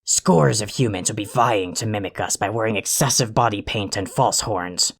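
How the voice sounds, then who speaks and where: An actor in an audio drama playing an irritable, foul-mouthed, self-important alien troll who rants and insults in a gravelly voice. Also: medium pitch, moderate pace. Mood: pompous, grumpy. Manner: ranting, insulting, pompously wordy.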